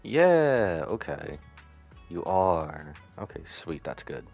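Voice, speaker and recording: Male game streamer, very low voice with animated intonation, casual rambling commentary. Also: slow, gravelly, lo-fi sound, soft background music. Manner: rambling, drifts into asides. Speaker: live-streamer.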